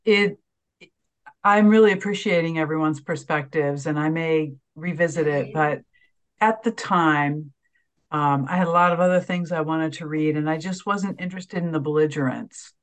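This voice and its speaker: A woman speaking casually in a discussion group in a measured, hesitant way. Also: low-pitched. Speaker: book club participant.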